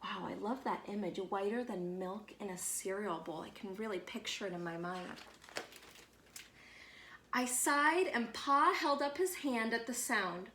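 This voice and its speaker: A woman reading a children's picture book aloud as a storyteller, expressive and measured, with deliberate pauses. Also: medium pitch, roomy sound, slight background noise.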